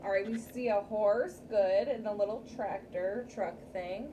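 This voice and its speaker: A woman's voice: mid-range voice, measured pace, mellow, dull audio. A woman teacher leading an interactive read-aloud story time for young pupils, often checking in with short questions. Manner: addresses young pupils, frequent checking questions like "okay?" and "right?". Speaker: teacher reading aloud to a class. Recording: distant mic, clear background room noise.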